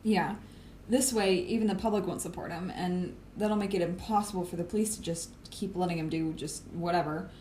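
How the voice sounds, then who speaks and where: A woman's voice: fairly low pitch, steady tone, brisk, darkish tone, natural voice, low-quality recording. A woman acting a sardonic, matter-of-fact superpowered team planner in an audio drama. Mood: confident, plotting. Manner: casual, swearing, matter-of-fact. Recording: clear background noise.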